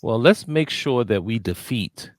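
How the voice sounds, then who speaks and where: A man's voice: medium pitch, expressive, unhurried, no echo, clean studio sound. A man hosting a radio interview, asking questions hesitantly with many fillers and repeated words. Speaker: radio show host, interviewer.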